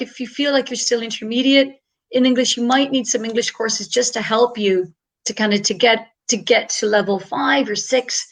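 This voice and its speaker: A woman from Ireland, an English tutor talking on a video call in a chatty, upbeat way with many fillers. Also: fairly high pitch. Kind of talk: online panel talk.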